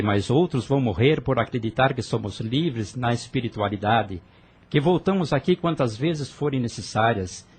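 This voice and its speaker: A man's voice: medium pitch, darkish tone, breathy edge. A man playing an earnest, idealistic young rebel who argues passionately with his sister in an audio drama. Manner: fervent, persuasive, earnest.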